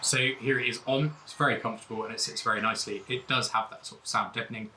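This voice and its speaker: A man's voice: medium pitch, steady tone, fast talker, pushed and bright, rough recording. Man casually explaining gear over background noise. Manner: informal, explanatory, thinking aloud. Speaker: vlogger reviewing and installing car gear. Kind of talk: casual product review and hands-on demonstration.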